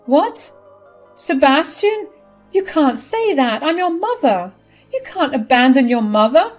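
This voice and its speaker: A desperate middle-aged mother-in-law begs in a high, shrill voice, as a woman narrator puts her on. She sounds loud and pleading. Faint music plays under the reading. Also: very animated, unhurried.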